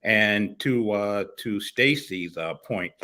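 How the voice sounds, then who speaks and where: A middle-aged man from Miami co-hosting a casual morning talk show, speaking haltingly with many fillers and restarts. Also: lowish voice, sharp tone, a little hiss or hum. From United States, Miami. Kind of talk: casual panel discussion.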